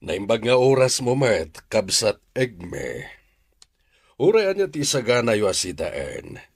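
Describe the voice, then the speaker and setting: A man's voice: medium pitch, sing-song, slow, no echo, clean studio sound, heavily acted. A man playing a genial, courteous, easygoing married employer in a radio drama. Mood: genial greeting.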